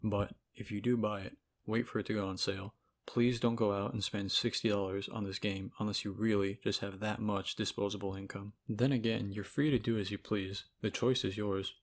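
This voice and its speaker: A man's voice: on the low side, flat delivery, moderate pace. A man giving casual, opinionated video essay commentary as a game reviewer, speaking informally with sarcasm and profanity. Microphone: cheap microphone.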